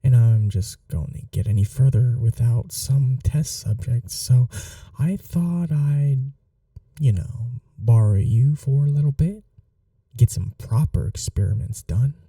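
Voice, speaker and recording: A man's voice: medium pitch, measured pace, dark tone, dry recording, far from the mic. A young man playing a smug, chatty mad scientist college roommate in an intimate ASMR audio roleplay, coaxing and condescending in a casual way. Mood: coaxing, eager.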